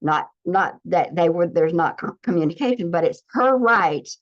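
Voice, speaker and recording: A woman's voice: on the low side, animated, normal speed. A woman giving an earnest spiritual teaching talk, speaking in declarations with frequent restarts. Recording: slightly dull lo-fi recording.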